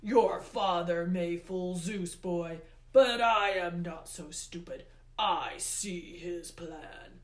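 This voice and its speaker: A woman voicing a furious, imperious male god of the underworld for a storybook, with booming, emphatic, drawn-out words. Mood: accusing anger. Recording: roomy, echoey indoor sound.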